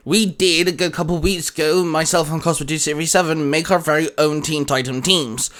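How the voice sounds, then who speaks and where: A man's voice: fairly high pitch, fairly bright tone. A man hosting a fan discussion show, presenting and chatting in a casual way.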